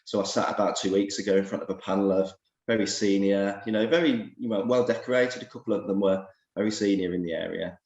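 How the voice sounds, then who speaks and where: Hoarse fast-talking man with a cold. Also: fairly low pitch, steady tone, a little mellow, reverberant, highs cut off. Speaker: doctor, interview guest. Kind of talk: video call interview chat.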